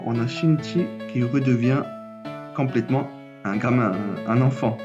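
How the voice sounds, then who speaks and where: A man's voice: medium pitch, dull audio. A man with a cold, reading his own theory video as a channel host, with small restarts. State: has a cold. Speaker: anime and manga YouTuber.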